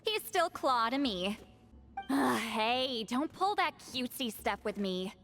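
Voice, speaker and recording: A proud, prickly female shaman from an anime game, speaks in a high, very lively voice. She is theatrical and haughty, grumbles readily and gets flustered fast. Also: faint music behind, heavily acted. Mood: grumbling. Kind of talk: anime-style video game voice acting.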